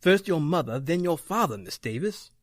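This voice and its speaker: An actor playing a solemn, authoritative older man in old-time radio horror, measured and portentous. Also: fairly high pitch, very animated, no room sound, studio quality. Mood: ominous.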